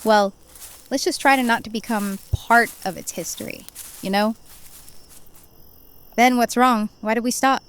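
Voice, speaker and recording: A woman's voice: fairly high pitch, a little slow, pauses often, a bit bright, smooth. A woman playing a wary, sceptical, easily exasperated young friend in a horror audio drama. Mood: exasperated, nervous.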